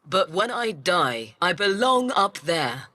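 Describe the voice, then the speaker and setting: Slow, solemn old woman. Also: on the low side, big pitch swings, pushed and bright, no room sound, heavily acted. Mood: solemn, resolute. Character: solemn, resolute old woman, former soldier. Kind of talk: television drama acting.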